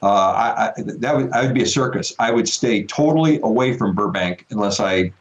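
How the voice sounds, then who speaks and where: A man's voice: on the low side, a little fast, lo-fi sound. A man speaking as a veteran pilot guest, explaining things conversationally over a remote connection.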